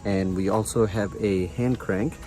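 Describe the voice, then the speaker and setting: Low, mellow-voiced man reviewing a product. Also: fairly even intonation, a little slow, soft background music. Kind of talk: casual product review, demonstrating features. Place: outdoors on a residential front porch.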